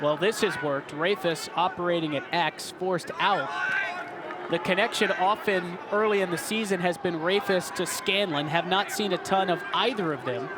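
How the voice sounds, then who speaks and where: A man's voice: high voice, moderate pace, over a noisy crowd, very noisy. A man in his twenties working as a sports broadcaster, hosting and calling play-by-play. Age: 20s.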